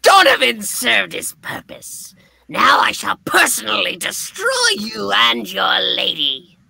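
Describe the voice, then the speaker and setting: A snarling goblin bad guy, super gravelly n sharp, slow gloating menace, comic puppet show. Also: mid-range voice, very animated, heavily acted. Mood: sinister. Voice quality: raspy, creaky, snarling. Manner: theatrical, menacing, drawn-out. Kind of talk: live comic book reading with puppets.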